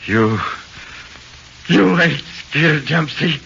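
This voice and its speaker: An actor playing a frightened small-time killer in 1940s radio horror, with nervous, halting, slangy talk. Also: male voice, on the high side, expressive, gravelly, a bit bright, exaggerated voice. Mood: wary, nervous. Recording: muffled hissy 1940s radio recording.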